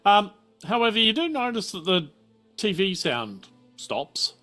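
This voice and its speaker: A man's voice: high-pitched, big pitch swings, unhurried, sharp tone, faint music behind. A man running a tabletop game casually, rambling, swearing and interrupting himself. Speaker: game master.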